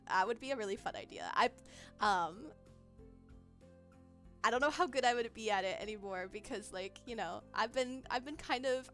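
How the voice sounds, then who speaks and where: A woman's voice: fairly high pitch, a bit bright. A young woman streamer chatting casually with her chat in a giggly, rambling way, full of fillers. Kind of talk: casual livestream chat, reading chat. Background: faint background music.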